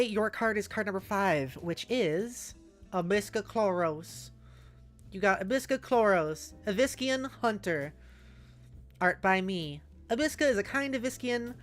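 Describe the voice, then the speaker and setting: A woman streamer chatting casually with viewers, giggly and halting as she thinks aloud and reads out messages. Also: mid-range voice. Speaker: streamer, host. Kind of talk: casual livestream chat, reading out viewer messages. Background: music.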